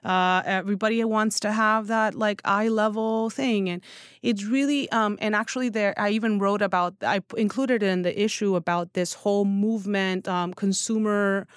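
A woman with a clean, smooth voice speaks as a guest in a casual interview, recorded dry in a studio. She is opinionated and emphatic, leaning on "like" and "you know" as she makes her points. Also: mid-range voice, fairly even intonation, normal speed, no echo. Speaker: guest, newsletter writer and founder.

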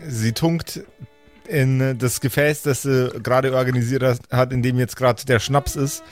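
A man's voice: mid-range voice, steady tone, normal speed, faint music behind. A man narrating an improvised tabletop game as game master in a vivid, descriptive way.

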